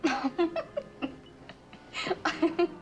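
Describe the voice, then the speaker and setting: An actress playing a wry, enigmatic student in a suspense drama, with a teasing, philosophical way of talking. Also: female voice, extremely high pitch, sing-song, extremely slow, full character voice. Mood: amused.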